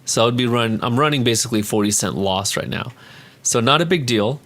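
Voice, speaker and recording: A man's voice: medium pitch, a little fast, fairly bright tone. A man hosting his own video, chatting casually and thinking aloud as he walks through his trades, often correcting himself mid-sentence. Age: adult with a child. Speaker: trading vlogger. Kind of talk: casual screen-share talk on options trades.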